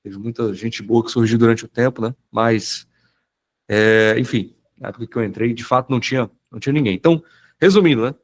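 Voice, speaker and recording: A man with a cold talks in a hoarse, slightly nasal voice at an ordinary mid pitch. He rambles informally through a live webinar, full of fillers and restarts. He sounds like he is on a computer mic during a video call. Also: fairly quick. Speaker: automation business owner presenting in a webinar. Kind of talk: casual live presentation.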